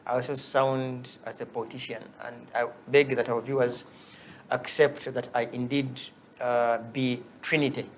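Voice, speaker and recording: A man's voice: medium pitch, level tone, normal speed, poor audio. A young man with a Ugandan accent speaks as an activist on a talk show. He makes wry jokes about his own points. Faint room noise sits behind his voice. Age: young adult. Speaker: activist guest.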